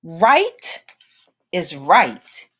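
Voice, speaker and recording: A woman reading a children's picture book aloud in a deliberate, expressive storytelling manner with dramatic pauses. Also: on the low side, a little hiss or hum.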